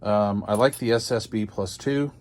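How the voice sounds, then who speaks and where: A man's voice: on the low side, normal speed, a little mellow. An older American man chatting casually as he hosts a hobby unboxing video, rambling along in a folksy, self-deprecating way.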